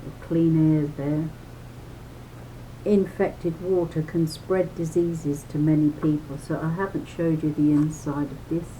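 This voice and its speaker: An older woman reading a children's booklet aloud in a deliberate, instructive way, with chatty personal asides. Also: flat delivery, mellow. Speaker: reader of a children's health booklet. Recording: noisy home recording with low rumble.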